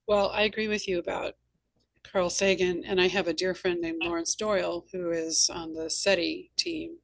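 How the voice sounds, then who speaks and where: A woman's voice: fairly low pitch, flat delivery, normal speed, creaky, no room sound, poor audio. A woman hosting a conversational interview, chatting and sharing anecdotes. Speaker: interview host and novelist.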